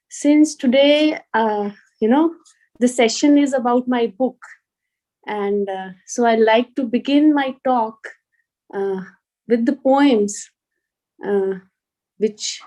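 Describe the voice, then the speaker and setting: Mellow-voiced Indian woman, fairly high pitch. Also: low-quality recording. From rural Punjab, India. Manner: hesitant, reflective, often repeating words. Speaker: guest speaker, police officer and poet. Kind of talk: informal address to an online student session.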